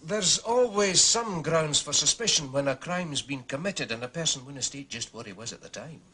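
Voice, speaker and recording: An actor playing a fatherly Scottish policeman in a period drama, courteous, deliberate and evasive in his official phrasing. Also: a little high, animated, bright, forceful tone, husky, exaggerated voice, poor audio. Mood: guarded. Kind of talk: British television period drama acting.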